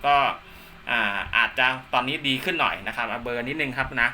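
Guy doing a casual radio hobby demo at home, really sharp bright voice, bit of noise behind. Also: medium pitch, fairly quick. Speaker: amateur radio hobbyist presenting his own video. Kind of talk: casual hands-on demonstration. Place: indoors at home.

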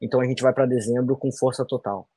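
A man's voice: mid-range voice, steady tone, quick pace, dark tone, dry recording. A man in his thirties answering interview questions over a video call from a small room, talking loosely with many fillers and tag questions. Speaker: fighter interviewee.

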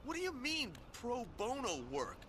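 An actor playing a timid, frightened gang mechanic in a video game, nervous and hesitant. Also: male voice, very high voice, wildly swooping pitch, moderate pace, very noisy, heavily acted. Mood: nervous, scared. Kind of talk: video game cutscene acting.

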